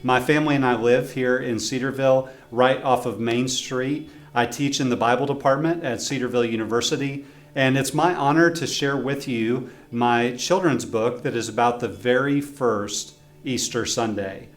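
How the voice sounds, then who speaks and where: A man's voice: mid-range voice, moderate pace. Man reading a children's story. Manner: friendly storyteller who asks young listeners questions. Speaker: professor reading his own children's book. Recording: roomy echo with faint guitar music.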